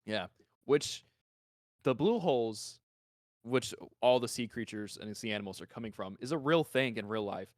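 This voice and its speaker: A man co-hosting a podcast, talking casually and informally in an animated way. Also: mid-range voice, moderate pace, dry recording, studio quality. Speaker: podcast co-host.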